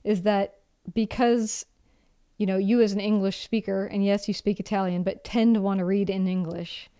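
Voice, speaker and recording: A woman's voice: mid-range voice, fairly even intonation, moderate pace, dark tone, clean tone. A woman co-hosting a podcast, chatting casually and thoughtfully about reading and food, with easy laughs. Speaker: podcast co-host. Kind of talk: casual chat.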